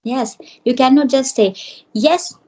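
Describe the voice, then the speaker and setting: A woman's voice: high voice, measured pace, a little mellow. A woman English teacher giving firm, reassuring advice in an interview.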